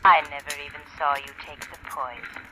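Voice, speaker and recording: A woman's voice: deep voice, fairly quick, music in the background, lightly acted. A woman playing a secretive, devoted lover in a vintage radio drama, with dramatic, breathless intensity. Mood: entranced adoring. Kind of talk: 1940s-50s radio drama acting. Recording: noisy, crackly vintage radio recording.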